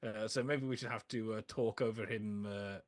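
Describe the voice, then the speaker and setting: A man from Wales co-hosting a casual film podcast, chatty and wry, with quick asides. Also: fairly low pitch, fairly even intonation, no room sound, studio quality. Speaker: podcast co-host.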